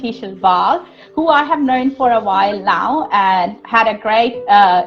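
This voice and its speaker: A woman hosting a live online interview in a conversational way. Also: mid-range voice. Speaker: host. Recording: dull lo-fi audio, faint music.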